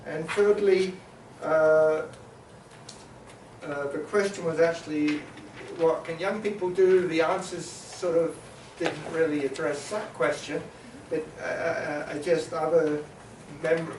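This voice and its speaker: Halting male conference facilitator in an echoey hall. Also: on the high side, steady tone, darkish tone, slight background noise. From New Zealand. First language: Maori. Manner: halting, many filler sounds and restarts, summarizing. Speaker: panel facilitator. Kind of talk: conference panel moderation. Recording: echoey hall, mic at a distance.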